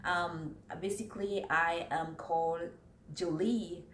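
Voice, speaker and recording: A woman talks to camera as a vlogger, earnest and steady, going back over her points as she follows her notes. Her voice sits a little low. The room around her rings with echo, and a faint hiss runs underneath. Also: slow pace. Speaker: vlogger giving business tips. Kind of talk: casual talk to camera. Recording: roomy echo, faint background noise.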